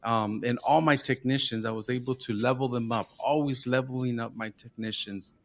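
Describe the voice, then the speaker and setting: A man's voice: mid-range voice, steady tone, normal speed. A man from Southern California, a marketing business founder, talking informally as a podcast guest, often adding "right?" and "man". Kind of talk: casual interview chat.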